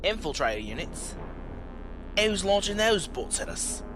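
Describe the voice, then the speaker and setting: Lively, high-voiced streetwise mercenary. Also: male voice, unhurried, music in the background, low-quality recording. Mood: questioning. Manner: informal, blunt. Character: self-professed streetwise male robot operator, plain-spoken. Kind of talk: audio drama acting.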